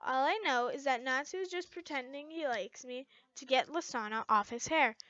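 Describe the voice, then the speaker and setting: Woman reading as an exasperated, high-voiced teenage girl. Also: moderate pace, a bit bright, no echo. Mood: exasperated, dismissive. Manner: emphatic, dismissive. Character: stubborn, exasperated teenage girl denying her crush. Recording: muffled lo-fi home recording.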